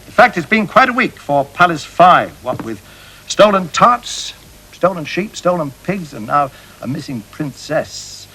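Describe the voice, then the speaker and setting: Earnest tv police appeal guy, mock serious, a bit high and lively, faint noise. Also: a little slow, heavily acted, poor audio. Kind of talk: parody of crime appeal broadcast.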